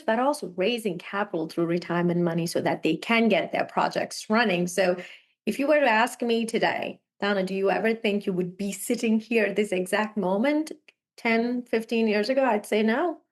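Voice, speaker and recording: A woman's voice: mid-range voice, normal speed. A young woman from Sri Lanka, a guest talking over a video call, telling her story earnestly and laughing often. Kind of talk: casual interview conversation.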